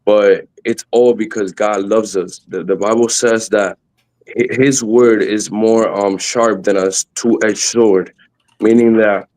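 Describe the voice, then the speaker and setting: A young man whose first language is Spanish, speaking earnestly in English as a podcast guest, with halting restarts. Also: on the low side, a little mellow, poor audio. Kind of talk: earnest faith testimony.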